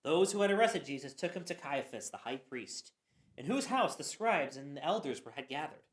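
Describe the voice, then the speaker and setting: A woman giving a dramatic Bible reading, expressive, with occasional stumbles. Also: low-pitched, normal speed. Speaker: scripture reader. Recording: roomy echo.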